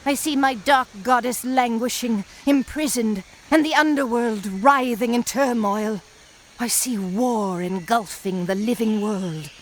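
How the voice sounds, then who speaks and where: An actress playing a haughty, ominous sorceress in a fantasy game, with an imperious, theatrical delivery. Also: female voice, fairly high pitch, unhurried, slight background noise, natural voice. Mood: grave urgency. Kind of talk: video game cutscene acting.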